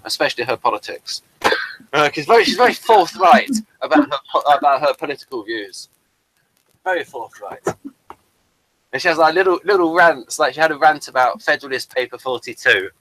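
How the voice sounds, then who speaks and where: A man's voice: medium pitch, fairly bright tone, rough recording. Rambling man full of fillers. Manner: rambling, many fillers and restarts. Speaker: playwright showing his work. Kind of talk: casual chat on a video call.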